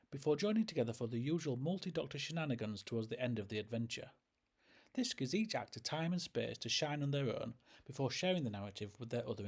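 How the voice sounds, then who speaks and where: A man presents a scripted review in the role of host. His voice is a little rough and husky at an ordinary male pitch. He explains things in a measured way, point by point. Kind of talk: scripted narration, audio drama review.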